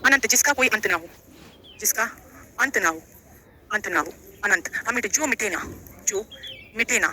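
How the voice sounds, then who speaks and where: A woman's voice: medium pitch, expressive, normal speed, pauses often, sharp tone, slight background noise. A woman teaching a live online lesson, explaining quiz questions in an instructive way, repeating phrases and putting questions to her students. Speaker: Hindi grammar teacher for exam coaching.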